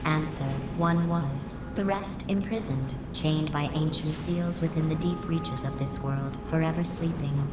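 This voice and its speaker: A cold, formal machine guardian, played by a woman, speaks in low, clipped reports, each opened with a one-word tag. Her voice rings in a roomy echo. Loud orchestral music plays behind her. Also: steady tone, a little slow, hardly any pauses. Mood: aloof. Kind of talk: game cutscene voice acting.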